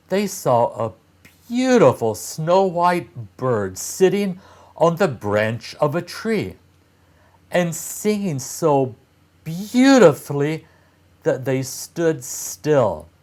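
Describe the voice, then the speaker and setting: A man reading a fairy tale aloud for young children in deliberate phrases, with frequent pauses and expressive emphasis. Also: fairly high pitch.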